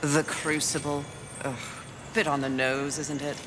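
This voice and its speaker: A woman's voice: deep voice, little pitch movement, a little slow, background noise. A woman playing a fierce, impatient, scornful warrior horseman in a video game, sardonic and curt. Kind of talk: video game character acting. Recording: loud music over the voice.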